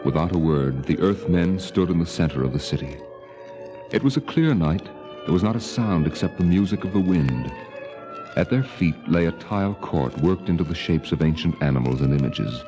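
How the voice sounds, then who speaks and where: A man's voice: low-pitched, expressive, normal speed. A man narrating a 1950s radio drama in a solemn, lyrical, dramatic manner. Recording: old radio recording with music.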